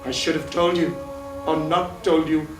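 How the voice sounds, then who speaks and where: A man's voice: fairly high pitch, steady tone, moderate pace, natural voice. An actor playing a remorseful male chef who earnestly confesses an old lie on a live stage. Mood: pleading. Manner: earnest, pleading. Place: theatre stage. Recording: roomy stage from a distance, music.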